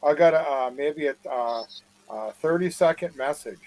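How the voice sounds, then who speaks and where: A man with a Wisconsin accent in a casual live chat over a video call, talking haltingly and often repeating his words. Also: on the high side, crowd noise, rough recording.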